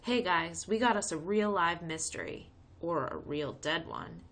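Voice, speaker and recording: A woman's voice: on the low side, a little slow, fairly bright tone. A woman reading a children's storybook, voicing a confident, playful boy who bosses his friends in breezy slang. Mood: conspiratorial. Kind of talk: read-aloud children's storybook.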